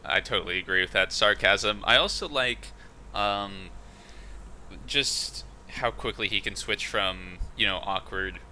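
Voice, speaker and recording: A man with a deep, very bright and forceful voice talks on a fan podcast. He sounds eager and keen, his points are strung together with ums and you-knows, and a faint hiss sits under him. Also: measured pace. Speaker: podcast co-host. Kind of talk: casual fan podcast chat.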